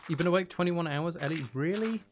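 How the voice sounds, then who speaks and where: A man's voice: fairly high pitch, a little fast. A man casually chatting on a live game stream, musing aloud and talking to viewers as he plays. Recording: faint background noise.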